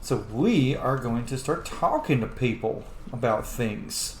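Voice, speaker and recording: Brief: male let's player, mid-pitched, chatty and irreverent, recorded with noticeable room echo. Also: expressive, measured pace. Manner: joking, casual, sweary. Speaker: host of a gaming channel. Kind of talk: casual let's play commentary, role-playing in-game dialogue. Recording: roomy echo, faint background noise.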